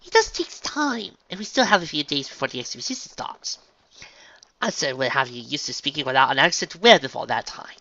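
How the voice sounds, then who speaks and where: A narrator voicing a refined, fussy young female unicorn dressmaker who speaks in a genteel, dramatic, gently chiding way. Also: mid-range voice, big pitch swings, a little fast, muffled recording, pitched above the performer's own voice. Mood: reassuring, brisk. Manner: genteel, dramatic, proper speech.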